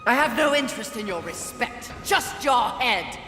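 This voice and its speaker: A woman's voice: fairly high pitch, expressive, moderate pace, pushed and bright, roomy sound, in a large hall, faint music behind. An actress playing a haughty, fierce female rider of the apocalypse in a video game, curt and imperious. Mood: cold, threatening. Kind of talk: dramatic video game acting.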